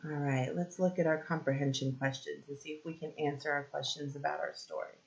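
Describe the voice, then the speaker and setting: A woman teacher leading a read-aloud lesson for young children, asking simple questions, pausing for answers and encouraging them. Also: low-pitched, level tone, gravelly, highs cut off.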